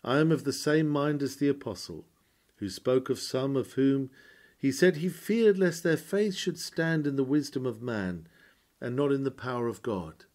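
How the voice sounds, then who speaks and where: A man reading a scripted historical sermon aloud in a measured, solemn and formal oratorical manner. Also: medium pitch, darkish tone.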